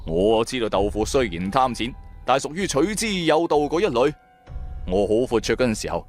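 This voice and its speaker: A man with a Cantonese accent narrating a scripted first-person audio drama in a dramatic storytelling style. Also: medium pitch.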